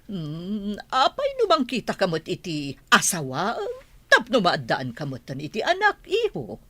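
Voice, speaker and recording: A woman's voice: mid-range voice, wildly swooping pitch, a little slow, sharp tone, no echo, full character voice. A woman playing a nagging, teasing older village mother in an Ilocano comedy radio drama, with an Ilocano accent and music under her. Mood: coaxing, nagging.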